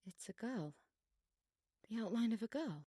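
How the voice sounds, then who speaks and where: A woman's voice: on the high side, animated, measured pace, mellow, slightly rough, dry recording, clean studio sound. A woman playing a sarcastic, bossy schoolgirl with a flippant, theatrical way of talking in an audio drama. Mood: hushed puzzlement. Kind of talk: scripted audio drama dialogue.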